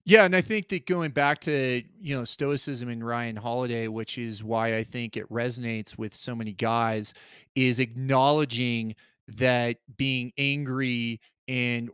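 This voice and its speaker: A man of middle pitch hosts an interview, speaking haltingly with many restarts and fillers. His voice is smooth and clear, recorded dry and close. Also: fairly bright tone, no echo. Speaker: podcast host. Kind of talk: interview questions.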